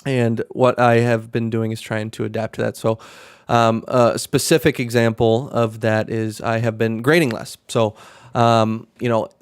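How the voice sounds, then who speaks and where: A young man hosting a casual live stream, talking in a rambling, monotone way with many fillers and restarts. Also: mid-range voice, a little slow, clear, resonant tone, dry recording, clean studio sound. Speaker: live stream host, card seller.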